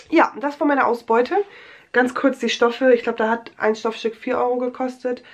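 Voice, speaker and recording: Chatty young woman with a cold. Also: fairly high pitch. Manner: chatty, rambling, many fillers and restarts. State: has a cold. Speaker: vlogger. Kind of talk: casual show-and-tell vlog.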